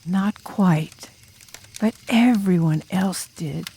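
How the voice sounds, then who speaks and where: Casting: woman as enigmatic drum major spinning horror tales by the fire; unhurried, breath-roughened, menacing, dramatic audiobook delivery. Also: lowish voice, warm tone, heavily acted. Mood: grave, menacing. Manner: ominous, drawn-out, dramatic, sometimes dropping to a whisper. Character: enigmatic drum major student telling a campfire demon horror tale. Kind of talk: audiobook ghost story narration in character.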